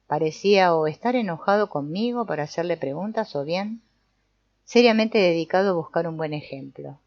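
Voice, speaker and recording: A woman narrating an audiobook in a steady, measured reading. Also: on the low side, no echo. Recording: dull lo-fi dry recording.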